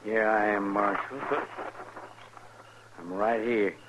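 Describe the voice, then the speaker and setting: Low-voiced, sly western outlaw. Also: male voice, fairly even intonation, slow, a bit bright. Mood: sly, menacing. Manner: folksy drawn threats and sly persuasion. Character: cocky, menacing, wheedling western outlaw. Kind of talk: 1950s radio western acting. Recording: muffled 1950s radio recording with hiss.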